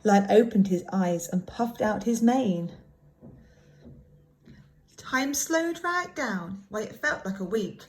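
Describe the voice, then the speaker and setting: A woman reading a children's story aloud in an expressive, dramatic way, like a primary school teacher. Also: medium pitch, slow pace, pauses often, low-quality recording. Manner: animated, dramatic emphasis.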